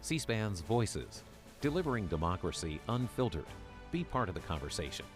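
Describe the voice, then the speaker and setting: A man's voice: very animated, normal speed, over music. A male announcer reads a network promo with a dramatic, announcer-style delivery. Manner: deep, dramatic announcer read. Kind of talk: promotional voice-over.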